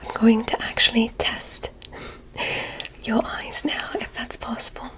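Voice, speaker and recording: A woman voicing a curious, polite alien examiner in a whispered ASMR roleplay, speaking in a soft, deliberate, clinical manner. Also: on the high side, level tone, natural voice. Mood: curious, gentle.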